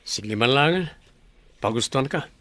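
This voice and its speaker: A man with a Filipino accent playing a sensible, reluctant young bachelor in a village comedy radio drama, bantering in a casual, measured way. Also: mid-range voice, bright, forceful tone, no room sound. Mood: casual, teasing.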